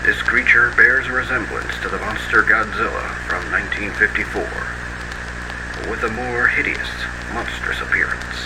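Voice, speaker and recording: A man's voice: on the low side, lightly acted. A man playing a grave agency spokesman, narrating a scripted analog horror broadcast in a solemn, formal announcer's manner. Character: grave male spokesman for a monster-response agency. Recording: heavy radio-like background noise.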